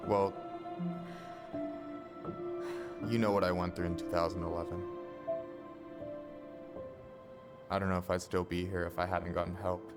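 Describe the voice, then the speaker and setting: Low, even-voiced supportive young American man, acted. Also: fast, over music, natural voice. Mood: gentle, concerned. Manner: gentle, reassuring. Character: calm, supportive young male best friend. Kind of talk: naturalistic video game acting.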